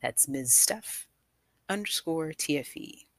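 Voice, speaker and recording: A woman's voice: low-pitched, expressive, takes it slowly, dry recording. A woman telling her personal story to an audience as a guest storyteller, reflective and emphatic in her delivery. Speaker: guest storyteller, nonprofit founder.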